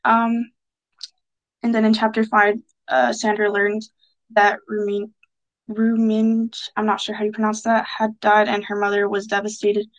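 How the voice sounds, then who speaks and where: A woman leads a student book discussion, halting often with filler sounds as she sums up chapters. Her voice sits in the middle of the range. Her pitch stays flat and even. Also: highs cut off. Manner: hesitant, many filler sounds.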